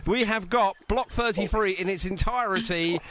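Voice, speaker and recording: A man's voice: high voice, moderate pace, noisy background. A man hosting a live radio show in a casual, jokey, rambling way.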